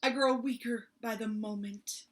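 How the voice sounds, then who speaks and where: A woman voicing a sick, grumpy, dramatic male bear in a storybook, with a stuffy, rasping voice and a whiny, self-pitying manner. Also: a little high, steady tone, a little slow, close to an everyday voice. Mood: grumbling. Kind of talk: storybook read-aloud.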